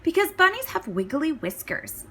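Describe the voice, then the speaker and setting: A woman's voice: high-pitched, very animated, moderate pace, sharp tone, pitched above the performer's own voice, exaggerated voice. A woman voicing an enthusiastic young animal in a children's storybook, explaining things simply. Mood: keen. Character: young animal friends, keen on their favourite pets.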